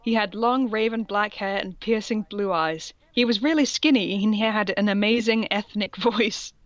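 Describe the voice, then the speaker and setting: A woman's voice: medium pitch, moderate pace, no room sound, muffled recording. A woman reading aloud in a casual comic style, with sardonic, sweary asides and a theatrical touch. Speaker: co-host reading fan fiction aloud.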